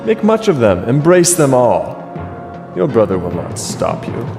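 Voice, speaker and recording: An actor playing a vengeful, gloating king in a classical tragedy, measured and theatrical with mock courtesy. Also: mid-range voice, huge pitch swings, vocal fry, exaggerated voice. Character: vengeful gloating king, falsely gracious to his brother. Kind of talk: classical tragedy stage acting. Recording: long hall reverb, music underneath.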